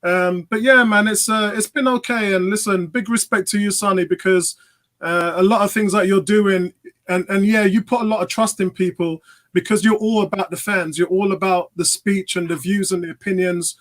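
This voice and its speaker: Man, high-pitched voice, casual panel guest, football fan podcaster chat. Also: a bit bright. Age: middle-aged. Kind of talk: casual chat with shout-outs.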